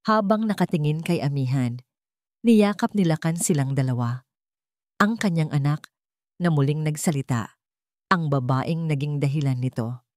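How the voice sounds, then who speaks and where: A woman's voice: low voice, normal speed, long pauses, darkish tone, dry recording, studio quality. A woman reads a scripted dramatic story in a solemn, suspenseful, emotive manner.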